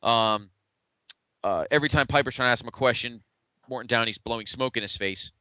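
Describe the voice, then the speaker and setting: A man's voice: medium pitch, brisk, pushed and bright, clear, resonant tone, no room sound. A man co-hosting a podcast in casual, conversational retrospective chat, with a blunt way of talking. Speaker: podcast co-host.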